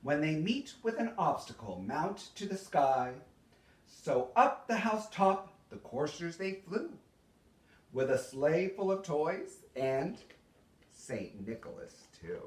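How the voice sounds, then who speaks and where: Male storyteller for theatrical verse, higher-pitched, unhurried and dramatic, recorded from a distance. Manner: dramatic, expressive storytelling with deliberate pauses. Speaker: storyteller reading a Christmas poem. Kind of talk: theatrical poetry recitation. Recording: roomy echo, distant microphone.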